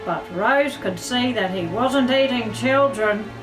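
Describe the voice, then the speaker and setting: Female children's storyteller: highish, animated, picture-book read-aloud with chatty asides, music underneath. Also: takes it slowly, poor audio. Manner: chatty asides and questions to young listeners.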